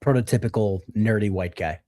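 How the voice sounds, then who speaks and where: A man's voice: lowish voice, fairly quick, warm tone. A man, co-hosting a casual movie chat with a chatty, self-deprecating manner. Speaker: co-host.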